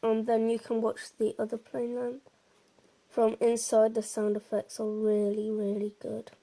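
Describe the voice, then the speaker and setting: Slow, even-toned female game commentator. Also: on the high side. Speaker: presenter of a game walkthrough. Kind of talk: casual gameplay commentary.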